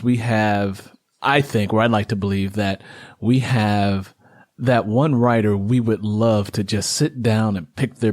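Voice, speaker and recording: A man's voice: fairly low pitch, no room sound, clean studio sound. A man who writes, hosting a casual interview and putting questions to his guest in conversational speech.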